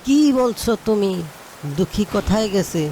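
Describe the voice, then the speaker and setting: A woman's voice: medium pitch, moderate pace, background noise, close to an everyday voice. A woman voicing a worried, caring fox father in a cartoon. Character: worried, gentle fox father searching for his daughter.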